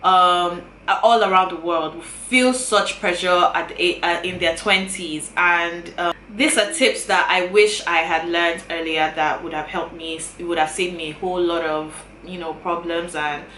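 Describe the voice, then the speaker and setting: Bright-voiced woman in her twenties, chatty. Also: lowish voice, steady tone, moderate pace, hardly any pauses, a little hiss or hum. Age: 20s. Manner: chatty, repeats phrases, earnest and encouraging. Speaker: YouTuber. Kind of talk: casual advice vlog to camera. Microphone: camera mic. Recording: bright, roomy sound with faint ambience.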